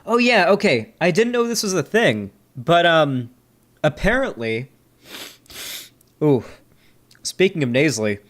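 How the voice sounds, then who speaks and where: A man's voice: on the high side, very animated, unhurried, pauses often, a bit bright. A young man from Florida with a slight Southern accent, talking in a casual, rambling way full of fillers, his voice a little nasal after a sneeze. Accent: slight Southern American. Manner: rambling, filler-heavy, frank. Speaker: streamer reviewing debates. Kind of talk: casual commentary chat.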